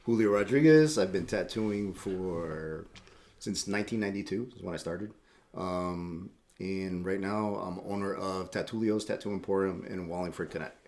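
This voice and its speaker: Easygoing middle-aged man, low voice. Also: normal speed, darkish tone. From Puerto Rico. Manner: easygoing, rambling, repeats words, laughs often. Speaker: tattoo shop owner, guest. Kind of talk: casual interview chat.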